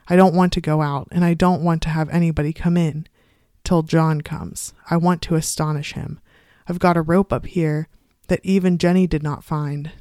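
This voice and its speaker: Storybook narrator: reader playing a confined, unravelling woman, secretive and defiant, measured confiding delivery, medium pitch, dry sound. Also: darkish tone, smooth, no echo, close to an everyday voice. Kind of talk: first-person literary story reading.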